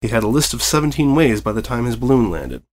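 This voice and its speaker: A man's voice: mid-range voice, sing-song, fast, darkish tone, slight background noise. Man narrating a story expressively. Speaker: fan fiction reader.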